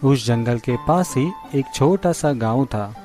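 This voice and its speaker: A man narrating a scripted children's story in a simple, measured way. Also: medium pitch, expressive, dark tone, faint music behind.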